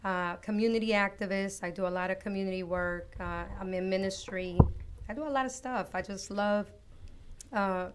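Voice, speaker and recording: A woman from Costa Rica speaks as a guest on a talk show, earnest and reflective, taking her time. Her pitch sits in a middle range, and a faint hiss runs under the voice. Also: normal speed. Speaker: guest author. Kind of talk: personal testimony in an interview.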